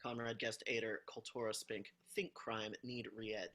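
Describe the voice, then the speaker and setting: A man's voice: lowish voice, flat delivery, unhurried, fairly bright tone. A man reading the part of a rigid, patriotic teacher's assistant who talks in clipped official jargon, in an amateur play reading. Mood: dogmatic. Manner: clipped invented jargon, stiff and dogmatic.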